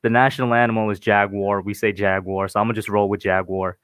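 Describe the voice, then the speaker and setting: A man's voice: on the low side, steady tone, quick pace, fairly bright tone, clean tone, no room sound, clean studio sound. A man from Guyana talking casually as a panelist on a sports livestream.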